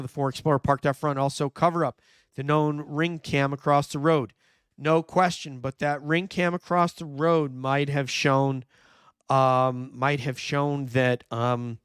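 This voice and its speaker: A hoarse man, nearly losing his voice, hosting a true crime livestream, talking emphatically and answering viewer chat. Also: mid-range voice, a bit bright, dry recording, clean studio sound. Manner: emphatic, repeats "no question", thinks aloud with fillers. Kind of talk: live commentary reading viewer chat.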